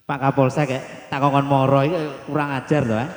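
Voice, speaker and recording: A man co-hosting a live village celebration on stage, cracking bawdy jokes and quick interjections. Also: mid-range voice, flat delivery. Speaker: stage co-host and comedian. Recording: echoey live PA sound with music.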